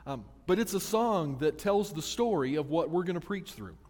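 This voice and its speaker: Preacher in a big reverberant church. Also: male voice, fairly high pitch, expressive, moderate pace, a little mellow. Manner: conversational and self-deprecating, with restarts. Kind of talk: sermon. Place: church sanctuary. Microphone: handheld or stand microphone.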